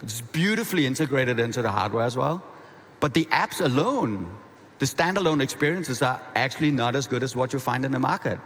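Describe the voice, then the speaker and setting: A man with a Danish accent giving a conversational, energetic conference keynote, often restarting his phrases. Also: mid-range voice, fairly quick. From half Danish. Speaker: keynote speaker. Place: large conference hall. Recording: reverberant hall.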